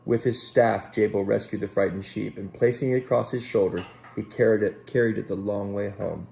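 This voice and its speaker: A man reading a Bible story aloud to children in a gentle, unhurried way, pausing to address them directly as a Sunday school teacher. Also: fairly low pitch, fairly even intonation, vocal fry, slight background noise.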